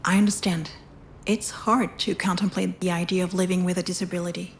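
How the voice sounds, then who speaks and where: An actress playing a polite, tactful hospital professional in video game dialogue. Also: female voice, on the low side, level tone, fairly quick, a little hiss or hum, natural voice. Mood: tactful, admiring. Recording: music behind the voice.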